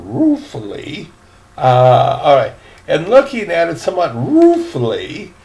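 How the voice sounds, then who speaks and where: An older man, a grandfather, reading a storybook aloud in a homemade style with casual asides. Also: a little high.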